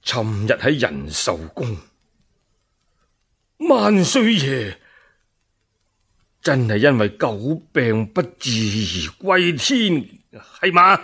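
Man playing an indignant old minister, swooping, husky voice. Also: medium pitch, sing-song, fairly bright tone, exaggerated voice. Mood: outraged. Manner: stammering with outrage, declamatory, drawn-out. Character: indignant old loyal minister, righteous and accusing. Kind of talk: one-man Cantonese radio storytelling drama.

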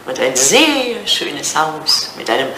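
Animated elderly English widow, low-voiced. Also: female voice, slow, exaggerated voice. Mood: pointed, playful. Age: older. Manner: playful, pointed, animated. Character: elderly English widow, teasing, forthright and sentimental. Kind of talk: German radio play dialogue, retro 1980. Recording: roomy, slightly noisy old radio recording.

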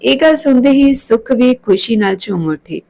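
A woman's voice: on the high side, lively intonation, moderate pace, gravelly, a little mellow, low-quality recording. A woman narrating a scripted story as a storyteller.

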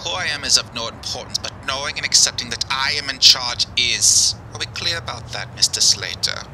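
A man's voice: mid-range voice, a little fast, pushed and bright. A man playing an arrogant terrorist leader in a radio play, speaking in a pompous, formal, commanding way. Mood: cold, pompous. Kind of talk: radio play villain acting. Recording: noisy background of traffic ambience.

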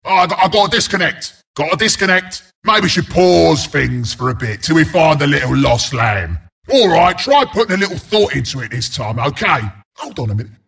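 An actor voicing a scrappy, cocky porcupine brawler as a video game announcer, with a London Cockney accent, a gravelly rasp and cheeky, blunt banter. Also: male voice, a little high, lively intonation, a little fast, a bit bright, exaggerated voice. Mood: brash announcing. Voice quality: gravelly, raspy.

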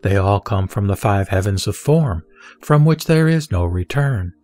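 Man, low voice, solemn measured reading, dry close sound, soft music behind. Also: animated, no room sound. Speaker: sutra reciter. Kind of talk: scripted scripture reading. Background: soft background music.

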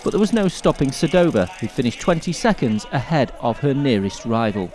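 A man reading scripted sports highlights as a commentator. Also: very animated, brisk, crowd noise.

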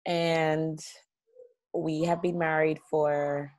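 A woman's voice: low-pitched, little pitch movement, extremely slow, a little mellow. A woman in her thirties from Nigeria, a guest on a video call from her living room, telling her story openly in a chatty way with small laughs. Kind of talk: casual video-call interview. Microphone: laptop webcam on a video call.

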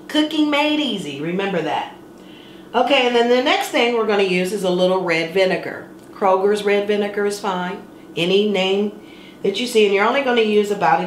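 A middle-aged woman hosts a home cooking demonstration in an easygoing, chatty way, now and then playfully singing a word. She is recorded by a camera mic set back in her kitchen, where the sound rings off hard surfaces. Cutlery and pans clink clearly behind her. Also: medium pitch, a little hiss or hum. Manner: chatty and playful, sings words for fun. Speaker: cooking show host. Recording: echoey kitchen with clattering dishes.

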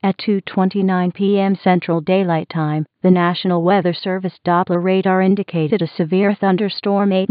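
Muffled, low-pitched synthetic woman announcer. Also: no echo. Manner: mechanical, impersonal. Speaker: automated weather radio announcer. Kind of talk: synthesized weather bulletin reading. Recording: muffled lo-fi weather radio recording.

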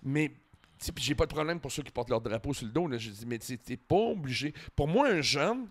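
A man in his forties with a Franco-Ontarian French accent, a podcast guest talking casually in a living room, animated and full of restarts. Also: mid-range voice, fairly quick. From Ontario, Canada. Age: 40s. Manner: animated, lots of restarts and swearing. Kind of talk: casual chat. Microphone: handheld mic.

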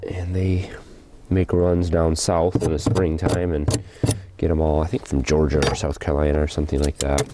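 A man casually narrating as he cleans out an old hive outdoors, thinking aloud with small pauses. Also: extremely low pitch, flat delivery, heavy background noise. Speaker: hobby beekeeper and channel host. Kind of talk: casual narration while working. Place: outdoors beside a wooden beehive.

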